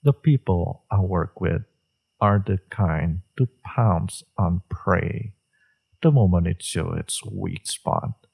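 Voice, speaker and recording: A very deep, dark-toned male villain acted by a man murmurs through a romance roleplay. His voice is husky and takes its time. He is smug and seductive, teasing his enemy with complete self-assurance. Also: takes it slowly, exaggerated voice. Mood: teasing, guarded. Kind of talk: romantic audio roleplay, intimate monologue.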